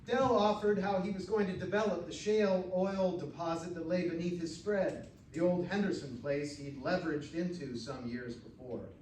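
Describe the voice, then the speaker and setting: A man's voice: high-pitched, normal speed, few pauses, darkish tone. An American man, a professional actor, narrating short fiction aloud at a live stage reading. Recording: roomy, distant stage recording, faint noise.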